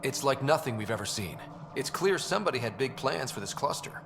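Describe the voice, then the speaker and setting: An actor playing a level-headed young space explorer in a video game, plain-spoken and respectful. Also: male voice, mid-range voice, animated, a little fast, creaky, noisy background. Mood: serious, assured. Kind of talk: video game cutscene acting. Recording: reverberant hall with background music.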